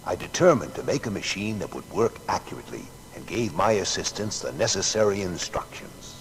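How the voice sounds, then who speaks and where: Thomas Edison, deep-voiced, earnest inventor. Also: male voice, very animated, a little fast, slight background noise, rough recording. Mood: reflective, determined. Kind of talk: dramatised biography acting.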